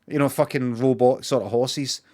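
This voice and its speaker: A man's voice: mid-range voice, fairly even intonation, moderate pace, dark tone. A Glaswegian Scottish man chatting casually on a livestream, rambling, swearing freely and repeating himself for emphasis.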